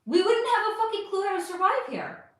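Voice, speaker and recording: A woman's voice: extremely high pitch, very animated, fairly quick, fairly bright tone. Chatty woman in a very echoey room. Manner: chatty, profane, affectionate with viewers. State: losing her voice. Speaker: livestream host. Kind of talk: casual livestream chat.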